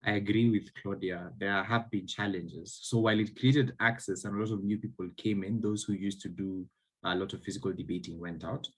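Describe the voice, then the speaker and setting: Young man, panelist, deep husky voice. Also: fairly even intonation, brisk, poor audio. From Kenya. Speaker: debate panelist. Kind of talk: casual panel discussion.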